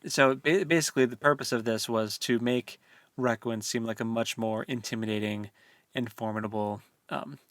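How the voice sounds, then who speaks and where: A man co-hosting a book discussion podcast, chatting casually and thinking aloud in a halting, colloquial way. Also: mid-range voice, fairly even intonation, no room sound. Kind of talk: casual chat about a novel.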